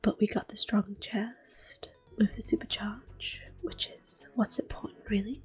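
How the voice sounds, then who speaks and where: A woman softly whispering gentle, unhurried ASMR commentary as they play a video game, explaining each step in a breathy voice. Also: mid-range voice, steady tone. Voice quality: breathy whispering, soft-spoken. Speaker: gaming ASMR video host. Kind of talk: soft-spoken ASMR gameplay commentary.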